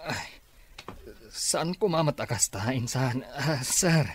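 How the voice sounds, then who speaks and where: A man's voice: mid-range voice, expressive, slow. A man with an Ilocano accent playing a sheepish, evasive office employee in a radio comedy drama. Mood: defensive.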